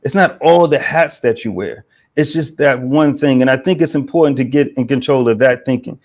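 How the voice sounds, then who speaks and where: A man on a podcast, explaining things calmly and tagging lines with "right?". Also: medium pitch. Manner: measured, explanatory, frequent "right?". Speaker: podcast guest. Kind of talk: reflective podcast talk.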